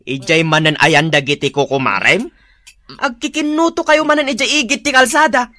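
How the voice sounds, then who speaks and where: An actress playing a grumbling, sarcastic spouse who scolds in a village comedy radio drama. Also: female voice, on the high side, moderate pace, bright, forceful tone, soft background music.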